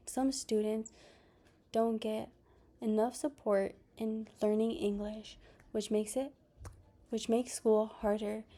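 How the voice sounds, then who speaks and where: A young woman whose first language is Spanish gives a class presentation, partly read and partly personal, speaking softly with pauses and restarts. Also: on the high side. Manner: slow and soft-spoken, with pauses and restarts. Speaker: student presenter.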